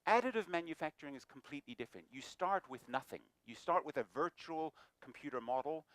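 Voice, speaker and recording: A man's voice: on the high side, fast talker, dry recording. Jokey lecturing man, dry close sound. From New Zealand. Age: middle-aged. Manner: jokey, self-deprecating, lively. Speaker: guest speaker. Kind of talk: lecture talk. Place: lecture room.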